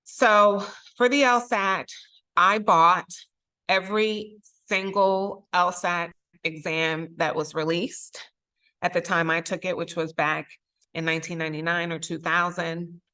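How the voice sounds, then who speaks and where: A middle-aged woman from Puerto Rico, Spanish her first language, speaks to a room of students with earnest emphasis. Her voice sits low and sounds bright and forceful. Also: steady tone, unhurried. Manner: earnest, emphatic, repeats key phrases. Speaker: circuit court judge, guest speaker. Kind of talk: motivational talk to students.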